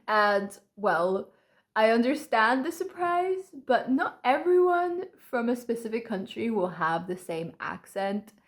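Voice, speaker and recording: A young woman from Poland vlogs to camera in a relaxed, storytelling way. Her English has a mixed, American-leaning accent, her voice sits a little high, and she laughs often as she acts out what people say. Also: unhurried. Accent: light mixed American-leaning English accent. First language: Polish. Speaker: vlogger.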